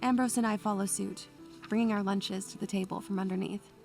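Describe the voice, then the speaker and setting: A woman's voice: mid-range voice, steady tone, fairly quick, creaky, a little mellow, soft background music, lightly acted. A woman playing a bookish, anxious young student who narrates her memories in a literary, atmospheric style. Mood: brooding, wistful. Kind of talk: atmospheric first-person scripted narration.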